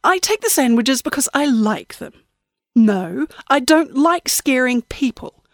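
A woman voicing a prim, eerie old woman who haunts funerals, speaking in a genteel, unhurried, knowing way. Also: high voice, lively intonation, dry recording, clean studio sound, voice pushed up. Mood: calm, knowing.

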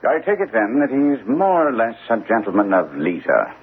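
An actor playing a composed, shrewd English gentleman detective in a radio drama, speaking in a measured, courteous, probing way. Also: medium pitch, wildly swooping pitch. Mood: shrewd, curious. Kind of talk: classic radio drama acting. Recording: tinny, band-limited old radio, faint hiss.

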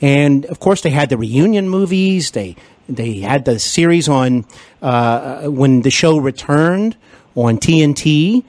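A man hosting an interview podcast, enthusiastic and complimentary, often pausing with fillers. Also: fairly high pitch, animated, a little mellow, no echo. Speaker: podcast host.

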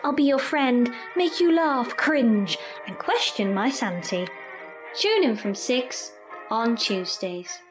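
A woman's voice: fairly high pitch, animated, slow pace, low-quality recording. A woman presenting a playful scripted promo for her radio music show.

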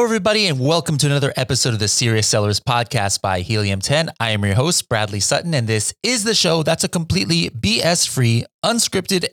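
A man hosting a conversational podcast interview in an informal, animated way. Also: mid-range voice, no room sound, studio quality. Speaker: podcast host.

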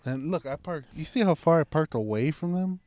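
A man's voice: fairly high pitch, expressive, a little fast, a little mellow, no room sound. A man talking in a laid-back, drawling way in a casual chat, sitting in a parked car.

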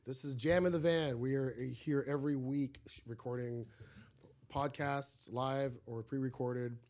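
A man's voice: mid-range voice, fairly even intonation, unhurried, darkish tone. A man hosting a casual podcast in a studio, chatting and joking in a loose, rambling way. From Egypt. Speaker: podcast host.

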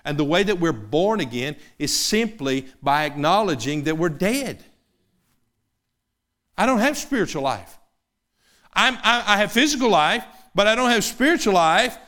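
A fifty-something preacher with a voice pitched a little high. He builds to loud, fervent passages and then settles into soft, conversational asides. It has the sound of a full sermon in progress. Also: sing-song, normal speed. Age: 50s.

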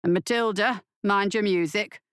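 A woman voicing a gruff, hulking, menacing male orderly in a horror audiobook. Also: mid-range voice, moderate pace, a bit bright, dry recording, studio quality, lightly acted. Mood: threatening.

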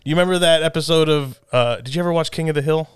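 A podcast host in casual chat, excitable and laughing as he retells a clip. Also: a little high, fast talker, clear, resonant tone, no echo, clean studio sound.

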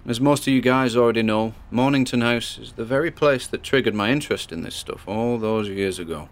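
A man playing an earnest paranormal investigator, chatting reflectively and haltingly into his recorder in an audio drama. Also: mid-range voice, close to an everyday voice. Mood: tense, purposeful. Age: 20s. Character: Miles Gordon, earnest 27-year-old male paranormal investigator vlogger. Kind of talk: found-tape audio diary.

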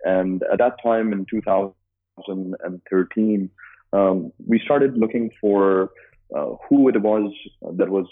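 A man from Dubai, a business co-founder answering interview questions in a halting way, with lots of filler sounds and restarts. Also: on the low side. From Dubai, UAE. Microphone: phone call. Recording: tinny phone line.

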